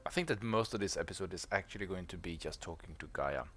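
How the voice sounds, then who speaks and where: A man's voice: low-pitched, animated, quick pace, a bit bright. A man hosting a gameplay video, talking casually and a bit hesitantly to his viewers. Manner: rambling, hesitant with fillers.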